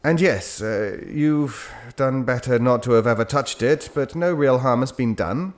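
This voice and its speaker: An actor with a British accent playing a hesitant, reassuring gentleman who tells an eerie tale in an audio drama, halting and conversational. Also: mid-range voice, natural voice. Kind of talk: audio drama ghost story narration.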